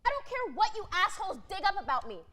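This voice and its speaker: A woman's voice: very high voice, big pitch swings, fast talker, bright, forceful tone, full character voice. A woman in a staged reading playing a defiant, foul-mouthed worker, blunt and fiery. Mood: defiant, angry.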